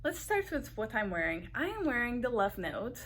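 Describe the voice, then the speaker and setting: A young woman with a Portuguese accent, hosting a knitting video podcast. She chats casually to the camera, unhurried, often using filler words and correcting herself. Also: a little high, very animated, a bit bright, slight background noise.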